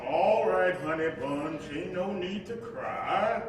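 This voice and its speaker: Stern father played by a male actor, emphatic and high, stage drama in a reverberant, faintly noisy room. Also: takes it slowly. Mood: softening. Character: stern, hot-tempered father and preacher, troubled by his son. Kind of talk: staged play reading before a live audience. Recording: distant echoey hall recording, faint rumbling noise.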